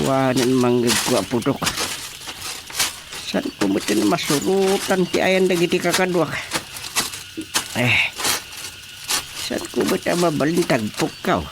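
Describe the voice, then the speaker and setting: A man's voice: mid-range voice, heavy background noise. A man with an Ilocano accent playing a nervous, bumbling army sergeant in a comic radio drama, hesitant and exclaiming. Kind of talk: radio drama comedy acting.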